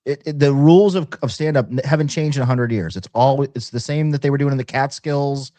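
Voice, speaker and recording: A man talking casually as a guest on a talk show, somewhat halting as he restarts his phrases. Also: mid-range voice, warm tone. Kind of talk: casual chat.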